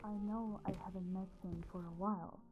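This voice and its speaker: A woman playing a possessive, teasing mafia boss in an intimate audio roleplay, commanding and often dropping toward breathy whispers. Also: mid-range voice, fairly even intonation, fairly quick, phone-quality sound, over music, close to an everyday voice. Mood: cold, guarded.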